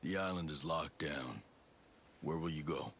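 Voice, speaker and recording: An actor playing a gruff, resolute special forces soldier in a video game, blunt and matter-of-fact. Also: male voice, rumbling low, lively intonation, a little slow, fairly bright tone, slight background noise, heavily acted. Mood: steady, probing. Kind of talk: cinematic game acting.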